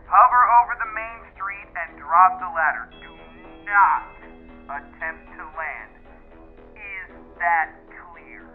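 Commanding male scientist actor, radio drama; higher-pitched, cool-headed, on a narrow radio channel. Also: slow pace. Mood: commanding, grave. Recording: tinny radio sound with music.

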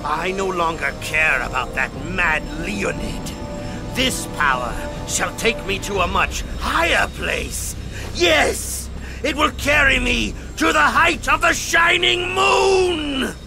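A power-mad, resentful apprentice mage from a video game. The voice is high and gravelly, theatrical and drawn out, full of arrogant boasting. Loud music plays underneath. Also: male voice, animated, slow, heavily acted. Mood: triumphant, raving. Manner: theatrical, boastful, cackling and shouting. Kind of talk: dramatic video game acting.

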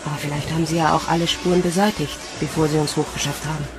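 A young female space recruit with a lowish voice, acted by a woman, stubborn yet unsure of herself, in a sci-fi radio play. Music plays clearly behind her. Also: fairly even intonation, quick pace, lightly acted. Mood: brooding. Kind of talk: German science fiction radio drama.

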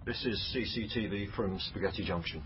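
A matter-of-fact male police officer, played by an actor presents evidence in a radio crime drama. His voice is low. The recording is dull with clear noise. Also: fairly quick, close to an everyday voice.

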